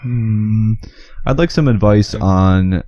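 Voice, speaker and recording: A man's voice: fairly low pitch, takes it slowly, mellow, dry recording, dull audio. A man with a Michigan accent co-hosting a casual podcast, talking bluntly and swearing freely, often repeating words as he starts a thought. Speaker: podcast co-host. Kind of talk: casual chat.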